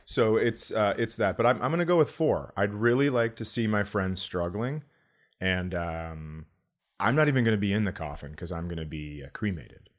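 A middle-aged man with a low-pitched voice, talking like a comedian on a podcast. He spins joking stories in a casual, deadpan way. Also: moderate pace.

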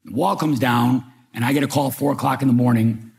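An older man from Chicago, a former agent, telling his own story in wry, halting fashion at a public talk. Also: medium pitch, gravelly, a little mellow. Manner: wry storytelling with hesitant restarts. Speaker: panel speaker, former FBI agent. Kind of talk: public talk, personal recollection. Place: museum event room.

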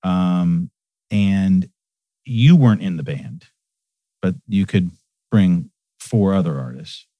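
A man's voice: deep voice, slow, warm tone, no room sound. A man hosting an interview, effusive and eager, often finishing his guest's sentences. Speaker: interview host. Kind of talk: casual interview chat. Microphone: studio microphone.

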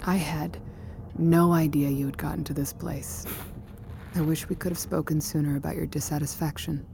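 Icy, gravelly, low-voiced female boss. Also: level tone, warm tone, a little hiss or hum. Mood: cool, cutting. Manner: measured, corporate, placating. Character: composed, controlling female company founder, defensive and icy. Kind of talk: naturalistic audio drama acting.

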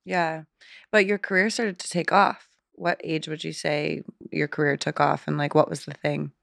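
A woman's voice: low voice, flat delivery, normal speed, vocal fry, no echo, studio quality. A young woman hosting a podcast interview, asking casual, curious questions and swearing now and then. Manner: casual, curious, swears, mentions her therapy. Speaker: podcast host. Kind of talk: conversational interview.

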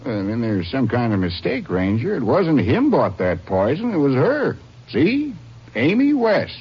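Folksy druggist with swooping intonation. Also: male voice, medium pitch, wildly swooping pitch, a little slow. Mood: puzzled, sure. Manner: folksy, muttering to himself. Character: folksy, chatty small-town Texas druggist. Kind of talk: old-time radio drama acting. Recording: muffled, noisy vintage radio recording.

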